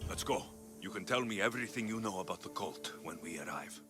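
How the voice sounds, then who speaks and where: A man playing a gruff, blunt young Greek mercenary in a video game, terse and impatient with dry delivery. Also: sits low, expressive, a little fast. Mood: demanding. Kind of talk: video game cutscene acting. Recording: music under the voice.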